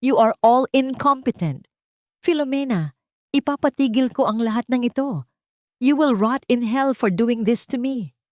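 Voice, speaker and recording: Woman doing a proud old matriarch in a screaming rage, high and piercing. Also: measured pace, a little mellow, no room sound, clean studio sound, lightly acted. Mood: furious. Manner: shrill, imperious screaming. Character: haughty old rich woman, arrested and raging.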